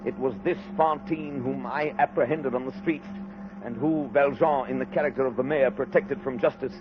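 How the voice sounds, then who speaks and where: A man's voice: mid-range voice, steady tone, moderate pace, music in the background, lightly acted. An actor playing a severe, zealous police inspector reading formally from his notebook in a 1930s radio drama. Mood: brooding, suspicious. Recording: noisy, muffled 1930s radio recording.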